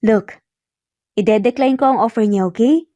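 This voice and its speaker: Woman voicing a gentle, mellow young man in love. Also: fairly high pitch, normal speed, dry recording, lo-fi sound, lightly acted. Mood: calm explaining. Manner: gentle, sincere, code-switching into English. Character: earnest, teasing young male engineer in love. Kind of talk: audio romance storytelling.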